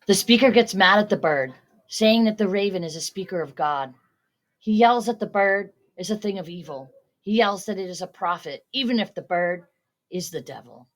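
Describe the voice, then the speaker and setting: A woman's voice: mid-range voice, animated, moderate pace. A young woman, a student, reading a scripted story retelling aloud, quoted dialogue included. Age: teens or young adult. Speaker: student reader.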